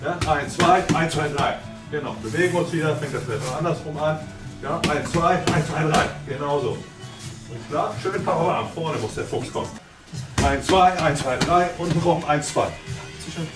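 A middle-aged man coaching a kickboxing class, brisk and joking as he counts out combinations for the group. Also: a little high. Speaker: kickboxing trainer. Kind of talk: coaching a training session. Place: large indoor gym. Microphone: far camera. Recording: echoey gym, far from the camera, loud music. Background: loud music.

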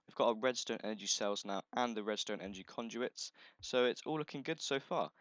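A man with a croaky, just-woken voice, chatting casually through a gameplay video, thinking aloud and correcting himself as he goes. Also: fairly low pitch, fairly quick, a bit bright, no echo. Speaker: let's play host.

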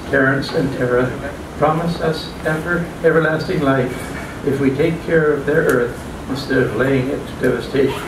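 An older man reading his own poems at an open mic in a small restaurant, halting and plain, stumbling and restarting now and then. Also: medium pitch. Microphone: stand mic, filmed from a far camera. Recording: echoey room, distant mic, background hum.

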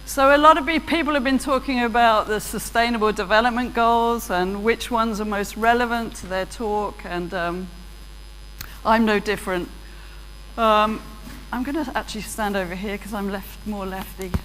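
A woman's voice: medium pitch, steady tone, normal speed, fairly bright tone. A British woman gives a chatty, self-deprecating keynote with a handheld mic. Her voice carries a long reverb from a large auditorium, with a faint hum underneath. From Britain. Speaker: keynote speaker. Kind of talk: conference keynote talk. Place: large auditorium stage with audience.

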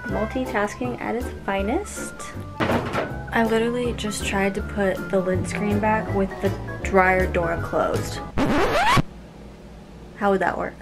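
A woman's voice: medium pitch, steady tone, slow pace, long pauses. A young woman in her twenties vlogging casually in a car, a grocery store and her kitchen, chatty and a little wry and tired. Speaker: lifestyle vlogger. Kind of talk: casual vlog narration. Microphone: handheld camera close to face. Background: background music.

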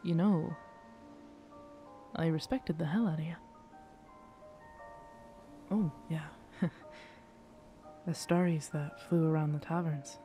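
A performer acts out a cursed pirate captain in an intimate audio drama. The delivery is unhurried and halting, with rough seafarer phrasing. The captain is guarded and weary yet tender, at a middling pitch, with faint music behind. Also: steady tone, warm tone. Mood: admiring, regretful. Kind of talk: ASMR roleplay audio drama, intimate second-person scene.

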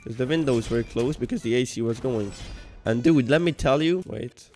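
Rambling Hungarian-accented young gaming streamer. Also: male voice, medium pitch, normal speed, faint music behind. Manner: rambling, joking, reads chat messages aloud, sudden excited yells. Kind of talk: casual gaming livestream chat. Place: home room.

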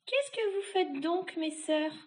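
A spiteful old witch, shrieks in a high, loud voice. She scolds and curses with vengeful nastiness, straight out of a storybook. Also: expressive, takes it slowly, full character voice. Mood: calling out. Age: older. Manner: shrill, exclaiming, scolding and cursing.

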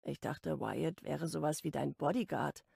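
Rome, a teasing young man, read by a woman, creaky-edged. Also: low-pitched, measured pace, warm tone, dry recording, clean studio sound, pitched below the performer's own voice, heavily acted. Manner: teasing, blunt, casual.

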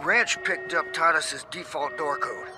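A man's voice: on the high side, unhurried, close to an everyday voice. A man playing a foul-mouthed older hacker mentor in a video game, wry and boastful, with a dryly joking manner. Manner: sardonic, dryly joking, profane. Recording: music playing behind.

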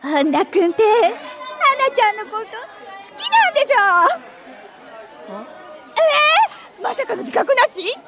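A tipsy, teasing office senior in an audio drama, bossy and theatrical. Her voice sits very high and leaps around, over a clear crowd of bar chatter behind her. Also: huge pitch swings, slow, pauses often, heavily acted. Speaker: senior coworker.

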